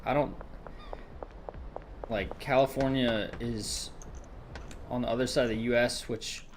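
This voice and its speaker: A man's voice: medium pitch, fairly even intonation, measured pace, slight background noise. A young man from Georgia with a light Southern accent, chatting casually with stream viewers in a rambling, hesitant way full of restarts.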